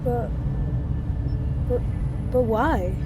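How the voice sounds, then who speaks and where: A woman's voice: fairly high pitch, very slow, low-quality recording. A woman playing an earnest, defiant scientist who argues insistently with authority in a sci-fi audio drama. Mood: earnest, frustrated. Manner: indignant, articulate, insistent.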